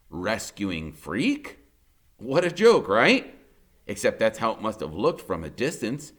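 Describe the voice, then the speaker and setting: Animated man reading a story aloud. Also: fairly low pitch. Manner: conversational, wry, dramatic. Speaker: audiobook reader. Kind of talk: expressive first-person story narration.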